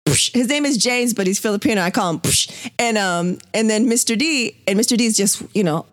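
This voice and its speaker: High, dry-voiced woman chatting loosely. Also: brisk, no room sound. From South Bay San Diego. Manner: laughs often, loose slangy storytelling. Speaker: podcast co-host. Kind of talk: casual chat.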